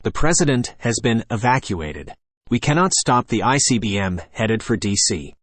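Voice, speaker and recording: A man's voice: on the low side, lively intonation, moderate pace, no echo. A man playing a grim, formal official announcing an emergency to the nation. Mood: grave, resigned. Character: grim male official announcing an unstoppable missile attack.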